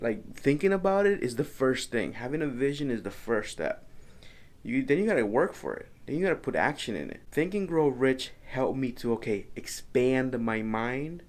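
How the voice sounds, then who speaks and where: A young man from El Salvador, talking earnestly to camera from his home office, often repeating and restarting his phrases. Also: medium pitch. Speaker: business vlogger. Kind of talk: casual vlog talk to camera.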